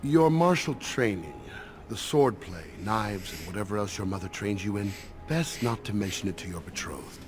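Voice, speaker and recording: An actor playing a gentle, worried older elf father in a video game, speaking in an earnest, cautioning way. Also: lowish voice, animated, moderate pace, lightly acted. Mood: fond, cautioning.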